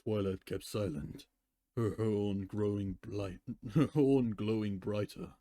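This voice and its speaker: A man narrates fan fiction aloud in a slow, fairly low voice. His tone is mellow, and he reads dramatically as if live, with a dry, close sound. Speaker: story reader.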